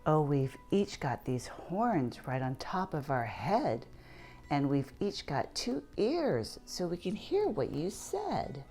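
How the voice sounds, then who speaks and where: Woman, children's read-aloud storyteller: low voice with animated swings, unhurried delivery, playful and interactive, music behind. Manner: playful, asks listeners questions and points things out. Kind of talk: read-aloud picture book narration for young children.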